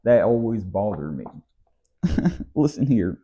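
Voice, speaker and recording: A man's voice: fairly low pitch, big pitch swings, slow pace, warm tone. An American man with a Southern accent, ranting in a weary, exasperated way in a casual vlog monologue. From United States. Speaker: vlogger. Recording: slightly dull lo-fi sound.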